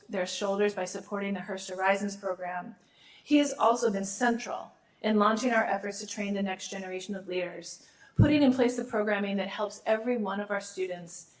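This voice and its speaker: A woman's voice: medium pitch, poor audio. A middle-aged woman, a law school dean, gives a formal awards speech while losing her voice, with small restarts and asides.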